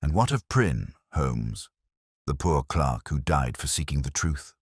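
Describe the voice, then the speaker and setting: A man plays a detective's loyal doctor companion in an audiobook mystery. He is earnest and questioning, in a very deep voice with animated rises. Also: measured pace, husky, dry recording, clean studio sound, heavily acted. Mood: astonished, concerned.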